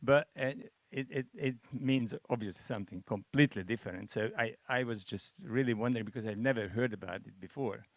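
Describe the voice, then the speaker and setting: A man from Hungary, a Hungarian speaker, making halting remarks in a masterclass discussion, full of restarts and fillers. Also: medium pitch, dry recording. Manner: hesitant, many restarts and fillers. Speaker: masterclass participant.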